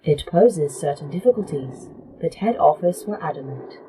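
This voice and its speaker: A woman playing a defiant artificial intelligence in a sci-fi horror audio drama, speaking formally and with clear articulation. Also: deep voice, lively intonation, normal speed, dark tone, a little hiss or hum, rough recording. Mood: coolly formal.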